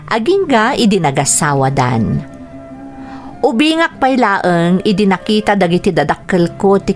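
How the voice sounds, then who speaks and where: A woman playing a young music lover who recounts childhood in reflective, earnest radio drama narration. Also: lowish voice.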